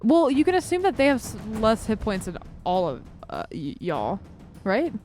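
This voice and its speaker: A woman with a fairly high, very animated voice plays a tabletop role-playing game. She chats informally and expressively with the group. Faint music sits behind her. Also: moderate pace. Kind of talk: casual banter among players.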